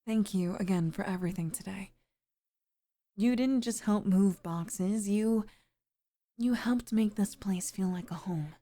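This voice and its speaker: A woman acting as a sweet middle-aged single mom in an intimate ASMR roleplay. She speaks slowly in a mellow, breathy voice that lingers near a whisper. She is tender and flirtatious, with a shy, easily flustered warmth. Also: mid-range voice, lightly acted. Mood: tender, grateful.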